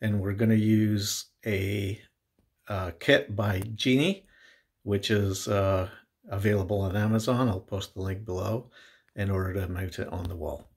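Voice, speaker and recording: A man narrating an informal how-to tutorial, explaining things in halting, hesitant phrases. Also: lowish voice, steady tone. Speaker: DIY home tech presenter.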